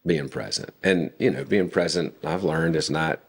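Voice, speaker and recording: A man in his forties, a podcast guest, telling family stories in a relaxed conversational way with frequent fillers. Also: very deep voice, normal speed, breathy edge. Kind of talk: casual conversation.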